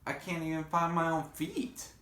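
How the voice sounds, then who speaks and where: A man voicing a small timid creature who is afraid of the dark, speaking in an earnest, nervous way. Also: on the high side, lively intonation, normal speed, reverberant, pitched above the performer's own voice. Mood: worried.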